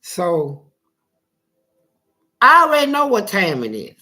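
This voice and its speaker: A man with a gravelly voice telling a story casually, in animated, profane street talk and acting out the dialogue. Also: high voice, slow pace. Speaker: interviewee telling his life story.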